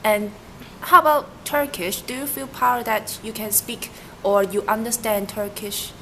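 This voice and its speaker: A woman's voice: on the high side, steady tone, measured pace, a bit bright, slight background noise. A young woman interviewing someone, asking questions hesitantly with fillers and restarts. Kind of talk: casual student interview.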